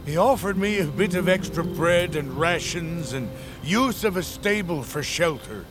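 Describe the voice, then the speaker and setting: An actor playing a humble, deferential lowland storyteller serving a young master in a fantasy audio drama, with formal, old-fashioned phrasing. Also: male voice, animated, measured pace. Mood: guilty, confessing. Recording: music under the voice.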